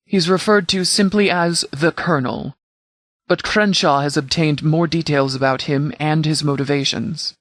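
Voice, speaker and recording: Game sheriff played by a male actor: disciplined, protective leader, slightly high male voice, measured military briefings, very dry recording. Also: a bit bright, dry recording, natural voice. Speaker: sheriff and commander. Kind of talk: scripted video game dialogue.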